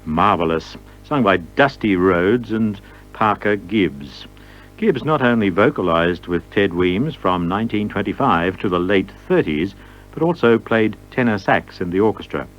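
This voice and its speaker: Wry, animated low-voiced man presenting old records. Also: unhurried, lo-fi sound, faint music behind. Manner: wry, playful humour. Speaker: radio music presenter. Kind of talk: semi-scripted commentary introducing vintage records.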